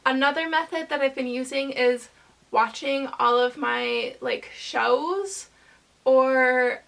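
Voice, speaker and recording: A woman vlogger chatting casually to camera, rambling hesitantly with lots of fillers and pauses. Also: high-pitched, creaky, a bit bright.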